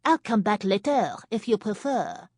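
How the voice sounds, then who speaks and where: A woman voicing a glamorous, scornful French woman in her late fifties, drawling slowly with a thick French accent. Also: medium pitch, sing-song, darkish tone, dry recording, clean studio sound, pitched above the performer's own voice. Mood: haughty. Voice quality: slow, drawling, contemptuous.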